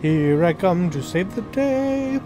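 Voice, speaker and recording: Male co-op gamer, deadpan casual banter, unhurried pace, clear music underneath. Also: high-pitched. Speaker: gamer playing co-op. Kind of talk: casual gaming chat.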